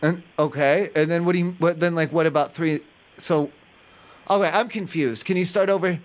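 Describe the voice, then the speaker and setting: A man with a fairly high voice plays a prank caller on a muffled, lo-fi recording. He rambles and sounds puzzled, pressing on with question after question. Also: fairly even intonation, moderate pace. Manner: feigned confusion, rambling, persistent questioning. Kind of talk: prank phone call.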